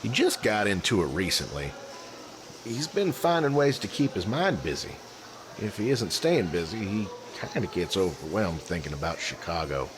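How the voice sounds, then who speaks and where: A man's voice: deep voice, big pitch swings, moderate pace. A man playing a friendly, easygoing young athletic hero in an audio drama, with casual, slangy delivery. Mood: friendly, relaxed. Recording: noisy background ambience.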